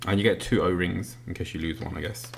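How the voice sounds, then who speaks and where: A British man casually walking through an unboxing, talking as he handles the items with lots of ums and pauses. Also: low-pitched, creaky, a little hiss or hum. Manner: relaxed, filler-heavy, talks while handling items. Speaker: product reviewer.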